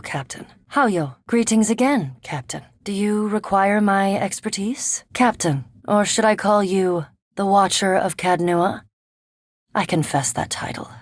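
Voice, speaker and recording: An actress playing a cool, scholarly, curious vampire animancer in a fantasy video game, speaking formally and precisely with a dry, reserved manner. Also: female voice, medium pitch, husky, dry recording. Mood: dry, polite.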